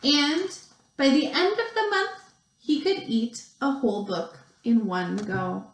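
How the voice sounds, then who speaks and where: Slow, high-voiced woman reading a storybook, echoey room. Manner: expressive storytelling with deliberate pauses and stretched emphasis. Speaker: second grade teacher. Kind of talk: picture book read-aloud for young children. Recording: roomy, echoey sound.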